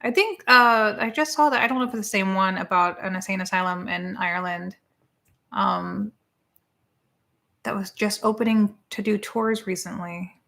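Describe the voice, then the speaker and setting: A woman's voice: mid-range voice, steady tone, moderate pace, vocal fry, fairly bright tone. A woman co-hosting a live stream, chatting casually and rambling as she reads viewer comments. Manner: chatty and rambling, spelling words out. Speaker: co-host of a live watch party stream.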